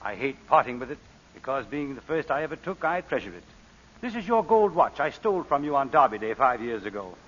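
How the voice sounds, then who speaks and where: A courtly, wry gentleman thief in a vintage radio drama. His speech is polished and theatrical with expressive pitch, heard through a dull recording with long reverb. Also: a little fast, slight background noise. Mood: wry, philosophical. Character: suave, philosophical gentleman crook, wry and courtly. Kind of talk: 1940s radio acting.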